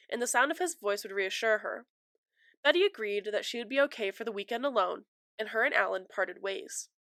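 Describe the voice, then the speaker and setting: A woman's voice: fairly high pitch, fairly bright tone, no echo. A young adult woman hosting a true crime podcast, stuffy from a cold, chatty and apologetic with many fillers, on a close mic. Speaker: podcast host.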